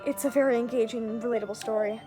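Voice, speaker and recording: High-pitched, playful young woman. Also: fairly even intonation, very fast, warm tone. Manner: giggly, playful, theatrical. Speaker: host of a comic game read-through. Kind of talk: casual, jokey chat and mock voice acting over a visual novel. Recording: music behind the voice.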